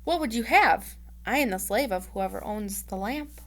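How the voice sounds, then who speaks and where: A woman's voice: medium pitch, expressive, moderate pace, natural voice. A woman voicing an obedient genie in a storybook, speaking in a formal, declaring manner. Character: obedient genie of lamp and ring, formal servant.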